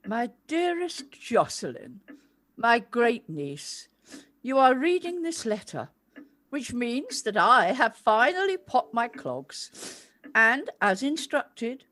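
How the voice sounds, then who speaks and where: A woman playing an old retired actress voicing her letter in an audio play. She speaks very slowly, in measured, eloquent phrases, fond and reflective throughout. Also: medium pitch. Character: elderly great-aunt, retired actress, affectionate and reflective.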